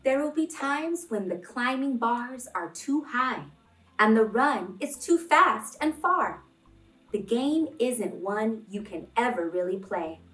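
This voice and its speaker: A woman hosting a children's read-along, reading expressively and addressing young listeners deliberately as friends. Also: fairly high pitch, lively intonation, a bit bright. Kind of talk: expressive picture-book read-aloud and talk to young children. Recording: roomy, distant microphone, music behind.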